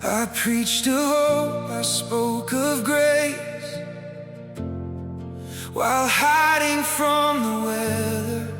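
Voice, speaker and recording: Slow female singer in long reverb. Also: high voice. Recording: long hall reverb under music.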